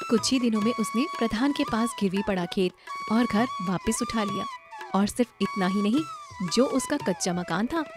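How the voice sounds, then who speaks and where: A woman narrating a scripted children's story. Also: a little high.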